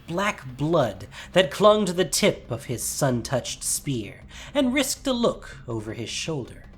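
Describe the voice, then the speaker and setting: A man giving a scripted, dramatic fantasy narration as storyteller, measured and suspenseful. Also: fairly high pitch. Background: music.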